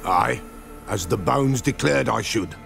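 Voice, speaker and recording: An actor playing an eccentric old village soothsayer in a fantasy game, with creaky voice and archaic, riddling, repetitive speech. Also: male voice, medium pitch, lively intonation, takes it slowly, fairly bright tone, faint music behind, exaggerated voice. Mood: wary, prophetic. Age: older. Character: eccentric, batty old village soothsayer who sees ghosts. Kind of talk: video game fantasy acting.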